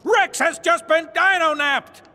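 Barking, high-strung toy army sergeant. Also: male voice, measured pace, pushed and bright, exaggerated voice. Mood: urgent. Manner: clipped, formal, barking military delivery. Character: gruff toy army sergeant, urgent and dutiful. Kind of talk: military report in video game acting.

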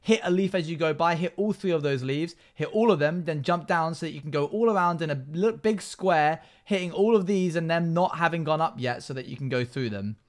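A man's voice: on the high side, brisk, few pauses. Young man chatting through a gaming livestream. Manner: chatty, reads chat messages aloud and answers. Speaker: live streamer. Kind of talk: casual gaming stream commentary. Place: small home room, indoors.

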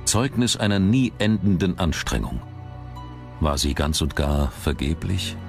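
A man's voice: low-pitched, measured pace, creaky. A man reading scripted narration for a documentary as its narrator. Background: background music.

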